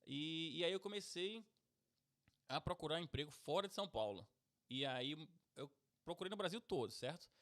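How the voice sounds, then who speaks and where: A man's voice: fairly high pitch, expressive, normal speed, fairly bright tone. Chatty middle-aged Pernambucan man. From Brazil, Pernambuco. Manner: chatty, keeps tagging "certo?" and "beleza?". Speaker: podcast host, IT professional. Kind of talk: casual podcast chat.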